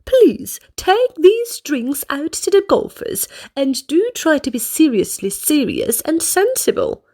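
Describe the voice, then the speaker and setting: A woman's voice: sits high, sing-song, slow, darkish tone, pitched above the performer's own voice, full character voice. A woman voicing a pompous, fussy male restaurant manager in a read-aloud storybook, speaking in a grand, overly formal way.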